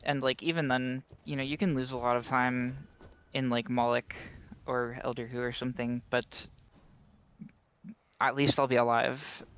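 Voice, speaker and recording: A man's voice: medium pitch, flat delivery, moderate pace, a bit bright, smooth. A man doing live commentary on a speedrun race, casual and analytical as he explains strategy.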